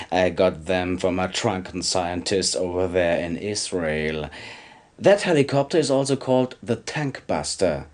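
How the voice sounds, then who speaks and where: A sly, low-voiced arms dealer. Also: male voice, a little mellow. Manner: smug, chuckling salesman patter. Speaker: game character actor. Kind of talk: video game cutscene acting.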